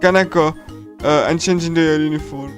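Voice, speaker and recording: A man with a slightly high voice gives casual live commentary while playing a visual novel. He rambles, restarts and repeats phrases as he reacts. Soft guitar music from the game plays behind him. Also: steady tone, normal speed.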